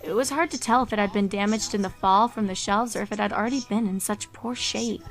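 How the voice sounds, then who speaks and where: A woman's voice: medium pitch, fast talker. A woman reading scripted story narration as the narrator of a fan fiction audio story. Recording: music behind the voice.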